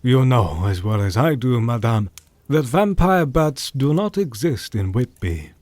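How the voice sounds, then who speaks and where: Courteous, grave doctor, acted by a man, slow delivery. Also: mid-range voice, animated, a little mellow, no room sound, lightly acted. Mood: probing. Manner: formal, measured, old-fashioned politeness. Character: courteous, grave scholarly male doctor, Van Helsing. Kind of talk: audio drama acting.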